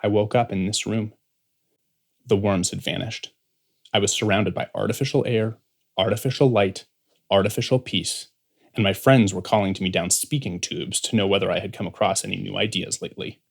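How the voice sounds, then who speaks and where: A man's voice: on the low side, fairly even intonation, moderate pace, gravelly. Earnest young rebel man, acted out by a man. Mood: haunted, bitter. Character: defiant, anguished young man, a rebel son. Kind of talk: dramatic audiobook monologue and dialogue.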